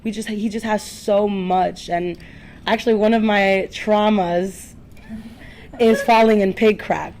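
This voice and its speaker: A woman's voice: medium pitch, fairly even intonation, unhurried, darkish tone, a little hiss or hum. A young woman born in the Dominican Republic, a farm co-founder, telling stories from her childhood in casual podcast talk.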